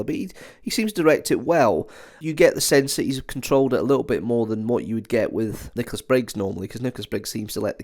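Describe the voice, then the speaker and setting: A man reviewing in a conversational, opinionated way, as commentary for a video. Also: mid-range voice.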